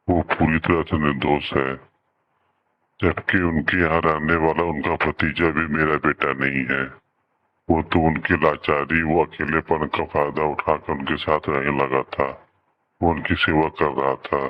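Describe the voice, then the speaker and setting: A man's voice: very deep voice, level tone, unhurried, highs cut off. A man playing an earnest, pleading village brother in a children's cartoon. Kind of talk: Hindi children's cartoon dialogue.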